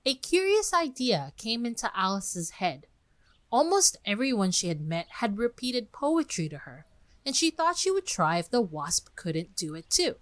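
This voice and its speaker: A woman hosting a podcast, reading scripted narration and storybook prose in an expressive, courteous way. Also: medium pitch, unhurried, no room sound. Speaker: podcast host and reader.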